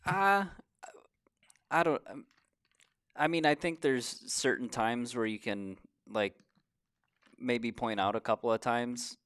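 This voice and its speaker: A man's voice: mid-range voice, slow, pauses often, fairly bright tone, smooth, no room sound, studio quality. A man chatting casually about sports in an informal, somewhat hesitant way.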